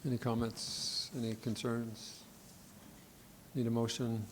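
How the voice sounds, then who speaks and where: A man with a medium-pitched voice chairs a meeting. He moves things along briskly and informally, over a little background noise. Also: level tone, poor audio.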